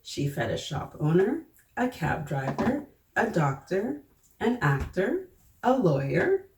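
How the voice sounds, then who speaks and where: A woman reading a children's story aloud, pausing to prompt young listeners with questions. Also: mid-range voice, very animated, darkish tone. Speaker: children's storybook reader and host. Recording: roomy echo, distant mic, faint noise.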